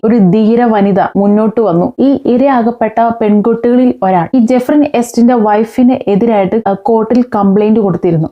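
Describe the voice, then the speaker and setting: Female vlog host, fairly high voice, explainer delivery, slightly dull lo-fi recording. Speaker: video host. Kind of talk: explainer vlog addressed to viewers. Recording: dull, lo-fi sound.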